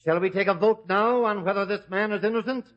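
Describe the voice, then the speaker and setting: A man's voice: high voice, close to an everyday voice. An actor playing a righteous judge who indignantly defends an accused man in a vintage radio drama. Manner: indignant and deliberate. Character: righteous, defiant judge Joseph. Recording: dull old radio recording.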